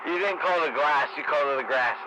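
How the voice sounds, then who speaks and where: A man's voice: fairly high pitch, fast talker, highs cut off. A man trading casual radio banter, teasing and swearing as he wisecracks. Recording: very noisy CB radio chatter.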